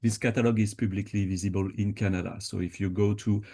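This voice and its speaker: A man answering audience questions at a conference panel, explaining in a measured way with frequent pauses and fillers. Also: fairly low pitch, steady tone, dark tone.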